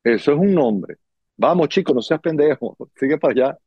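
A middle-aged man with a Venezuelan accent, telling stories as an interview guest in an animated, theatrical way and acting out bits of dialogue. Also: on the high side, normal speed, breathy edge, no room sound, lo-fi sound. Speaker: guest, circus performer and dancer. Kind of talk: conversational storytelling in an interview.

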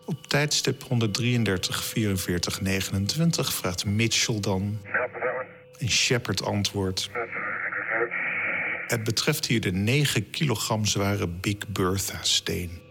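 A man reading scripted science narration in a monotone manner as a podcast narrator. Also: fairly low pitch, slow pace, creaky, background noise. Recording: music behind the voice.

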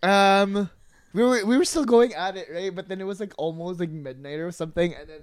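Giggly, excitable podcast co-host, close dry sound. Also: mid-range voice, a little fast, no room sound. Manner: gossipy, slangy storytelling with quoted dialogue. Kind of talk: casual chat, telling a personal anecdote.

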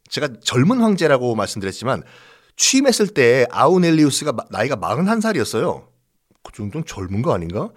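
A man telling history as a host in a conversational, dramatic and jokey style with emphatic repetition. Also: mid-range voice. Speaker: history storyteller and podcast host. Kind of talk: animated conversational history storytelling.

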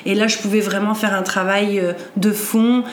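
A woman's voice: medium pitch, little pitch movement, normal speed, roomy sound, a little hiss or hum. A woman in her forties from northern France, telling her life story as a podcast guest, hesitant with many fillers and restarts. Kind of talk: personal story in an interview.

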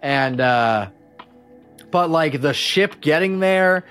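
A man's voice: on the high side, expressive, slow, a bit bright, clear, resonant tone, faint music behind. A man chatting casually and enthusiastically as a fan and podcast guest, in an informal way.

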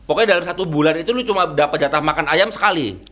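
High, bright-voiced Javanese man. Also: racing. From East Java. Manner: laughing storyteller, animated anecdotes. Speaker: guest. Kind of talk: casual chat.

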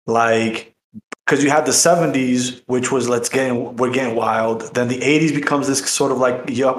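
Middle-aged man podcasting in an echoey room. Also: mid-range voice. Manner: rambling, slangy. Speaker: podcast host. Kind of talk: casual podcast chat.

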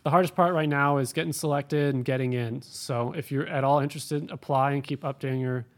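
Man, mid-pitched voice, air traffic controller, casual practical explainer, podcast guest. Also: fairly even intonation, brisk. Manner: plain-spoken, practical explainer. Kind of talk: casual interview answers.

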